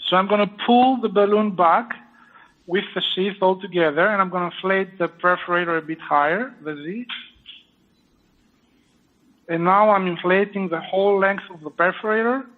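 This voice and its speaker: A high-voiced man with a French accent talks through a surgery step by step, pausing often with fillers. His voice comes over a thin, tinny line that sounds like a phone. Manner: explanatory, halting with many fillers. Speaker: surgeon performing a live procedure. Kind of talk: live procedure commentary.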